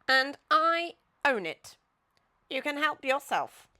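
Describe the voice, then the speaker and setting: Woman putting on a loud, pompous, bright-voiced Duke. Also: high voice, very animated, slow, pitched above the performer's own voice, heavily acted. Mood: proud, emphatic. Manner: pompous, declamatory. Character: grand, emphatic, know-it-all, generous old-fashioned male Duke.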